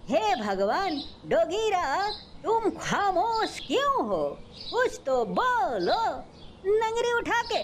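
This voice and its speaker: A woman plays a boastful little male animal soldier in a children's cartoon. The voice is extremely high and swings about, with a blustering, bungling manner. There is faint noise behind it. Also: very animated, takes it slowly, exaggerated voice. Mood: reassuring. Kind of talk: Hindi animal cartoon dialogue.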